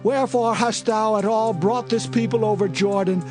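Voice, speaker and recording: A man's voice: high voice, steady tone, moderate pace, a little mellow, lightly acted. A man playing an earnest, grave Israelite leader in a dramatized audio Bible, with solemn, declamatory archaic speech. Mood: anguished pleading. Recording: background music under the voice.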